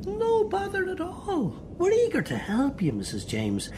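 An Irish man acting as a brisk, reassuring private detective in a radio play. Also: very high voice, huge pitch swings, noisy background, full character voice. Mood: calm, reassuring. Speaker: actor.

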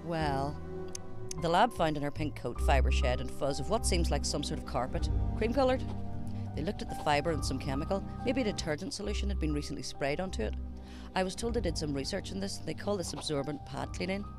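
An actor playing a matter-of-fact, methodical forensic pathologist in an amateur radio drama, explaining findings in a measured way. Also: medium pitch, expressive, over music, close to an everyday voice.

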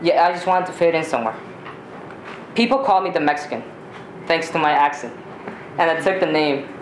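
A man's voice: a little high, animated, brisk. Teenage boy reading aloud in a roomy space. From Ecuador. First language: Spanish. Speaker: storyteller. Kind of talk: reading a personal story aloud. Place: small community theater stage. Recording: roomy live stage recording, faint noise.

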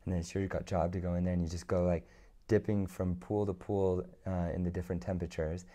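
A young man in his twenties, hoarse from being sick, chatting easygoing as an interview guest on a lapel mic in a large indoor studio. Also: low-pitched, level tone, brisk, warm tone. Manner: easygoing, many fillers and restarts. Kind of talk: casual interview chat.

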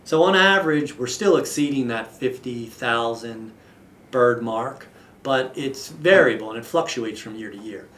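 Man, thirties, echoey room, slightly distant mic, mid pitch, formal, measured interview delivery. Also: a bit bright. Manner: measured, formal, with deliberate pauses. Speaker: state wildlife agency official. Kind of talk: explanatory on-camera interview. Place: indoor office with wall map.

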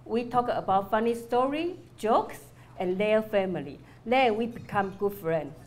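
A middle-aged woman from Taiwan speaks English as a second language, her first tongue being Mandarin Chinese. She talks earnestly in short, simple phrases at a middle pitch. She is interviewed with a handheld mic inside a restaurant hall. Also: animated, unhurried, darkish tone. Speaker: restaurant owner and adult learner.

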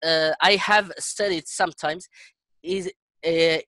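A middle-aged man with a Chilean accent and Spanish as his native language, chatting in halting English and repeating phrases as he searches for words. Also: a little high, steady tone, sharp tone, dry recording, studio quality. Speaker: English learner. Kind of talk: casual conversation practice. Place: indoor room on a video call. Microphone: headset.

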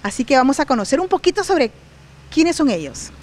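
A woman's voice: high voice, sing-song, fast, darkish tone. Woman, show host, chatty, upbeat, bilingual interviewer, handheld mic outdoors. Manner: upbeat, chatty, repeats questions in two languages. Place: outdoors on the porch of a large unfinished house.

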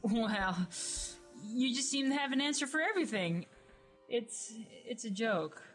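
A woman playing a sarcastic, brash young female creature in an audio drama, colloquial and expressive. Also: a little high, unhurried, soft background music. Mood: curious. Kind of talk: dramatized webcomic audio play.

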